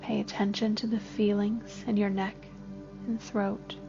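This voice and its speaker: A woman gently leading a scripted guided body scan meditation, giving unhurried instructions as a therapist. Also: medium pitch, level tone, creaky, dull audio. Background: soft music.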